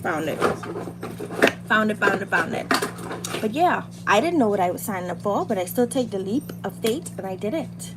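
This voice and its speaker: A woman's voice: medium pitch, expressive, moderate pace. A woman with a Bahamian accent chatting casually and encouragingly as a livestream host, in informal speech that repeats phrases for emphasis. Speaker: livestream host, beauty and hair content creator. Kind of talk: casual chat while doing makeup, motivational girl talk. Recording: loud background noise on livestream.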